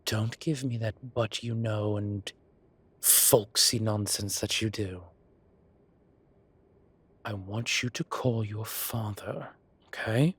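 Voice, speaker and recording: A man voicing an old, fatherly, whimsical boss, fond and gently insistent, with theatrical old-fashioned phrasing in an audio roleplay drama. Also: on the low side, takes it slowly, long pauses, slightly rough, heavily acted. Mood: firm.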